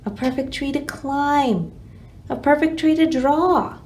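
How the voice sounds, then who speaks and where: A woman hosting a library storytime, reading a children's picture book aloud in an expressive, dramatic way. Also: high-pitched, slow.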